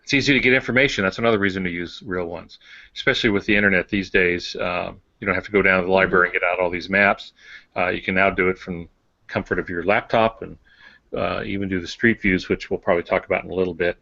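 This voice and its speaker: Low, creaky-voiced man explaining casually. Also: steady tone, fast, bright, forceful tone, no room sound, lo-fi sound. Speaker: podcast co-host.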